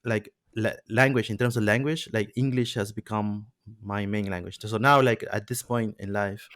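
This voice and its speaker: A man in his thirties from Peru, a native Spanish speaker, talks slowly through his thoughts with plenty of fillers and restarts. His voice sits fairly low, and the recording is dry and close. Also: steady tone, a bit bright, no echo. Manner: reflective, many fillers and restarts. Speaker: podcast host. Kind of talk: casual conversation.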